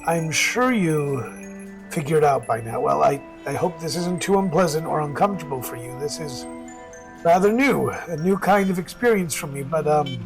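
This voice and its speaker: A shy, self-deprecating male technician speaks in a fairly high voice over clear music. He is earnest and gentle-tempered, picking his way hesitantly through a monologue in an urban fantasy audio drama. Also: lively intonation, moderate pace, low-quality recording. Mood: tender, nervous. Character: mild-mannered, shy technician courting a woman, earnest. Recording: music behind the voice.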